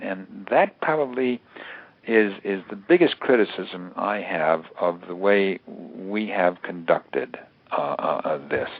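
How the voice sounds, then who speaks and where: An older American man giving considered, analytical interview answers in a halting, deliberate way, repeating words for emphasis. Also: low-pitched, slightly rough, no room sound. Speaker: interview guest, author and security analyst. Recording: narrow tinny phone line.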